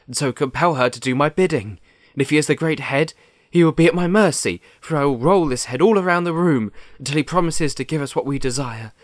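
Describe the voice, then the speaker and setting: Man putting on a high-pitched cowardly storybook lion. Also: no echo, studio quality, natural voice. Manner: dramatic, earnest, swooping. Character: cowardly male lion, blustering yet timid and pleading. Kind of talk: children's storybook character voice.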